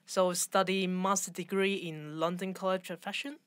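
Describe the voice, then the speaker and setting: Low-pitched Hong Kong woman in interview. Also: steady tone, a bit bright, dry recording. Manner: halting non-native English phrasing. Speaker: interview guest, fashion designer. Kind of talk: conversational interview.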